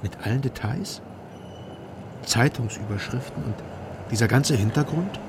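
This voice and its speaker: An actor playing a wary, probing private detective in a radio drama, putting short sceptical questions. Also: male voice, mid-range voice, expressive, a little slow, background noise, natural voice. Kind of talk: German radio crime drama dialogue.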